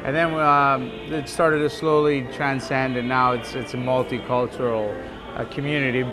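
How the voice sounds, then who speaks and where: A man's voice: medium pitch, little pitch movement, moderate pace, music in the background. A middle-aged man from Canada who runs a coffee shop, talking candidly in an interview inside his cafe. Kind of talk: casual interview.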